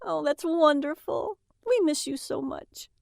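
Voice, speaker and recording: A woman's voice: high voice, animated, measured pace, creaky, full character voice. An actress playing a stressed mother and trucker's wife in an audio drama, by turns snappish and chatty. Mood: affectionate, upbeat. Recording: faint background noise.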